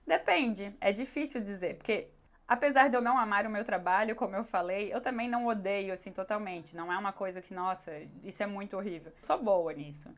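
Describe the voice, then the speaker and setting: Casting: female Brazilian vlogger, slightly hoarse, mid-pitched, candid and conversational in a casual Q&A. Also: a little fast. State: hoarse, recovering her voice. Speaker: YouTuber answering viewer questions. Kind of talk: casual question-and-answer vlog.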